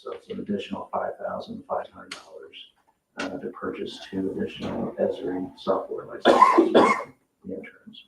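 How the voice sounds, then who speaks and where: A man's voice: low voice, creaky. A man giving a matter-of-fact, informal report as a town official at a public meeting, explaining spending requests. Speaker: town utility official presenting spending items to council. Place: indoor council meeting room. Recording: echoey, distant, dull meeting-room audio, faint noise.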